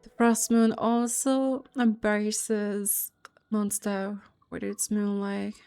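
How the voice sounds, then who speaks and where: A woman's voice: medium pitch, little pitch movement, slow pace, warm tone, clean tone. A woman game streamer chatting casually and reading aloud as she plays, hesitant and thinking aloud. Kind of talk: casual chat and reading aloud while playing. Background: game music.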